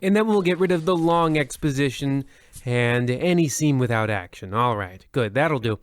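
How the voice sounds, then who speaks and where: A man's voice: mid-range voice, animated, normal speed, close to an everyday voice. An actor playing a fussy radio editor who grumbles to himself as he cuts a script, then addresses listeners. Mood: exasperated. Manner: grumbling, exasperated, talking to himself. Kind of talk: comedic old-time radio acting.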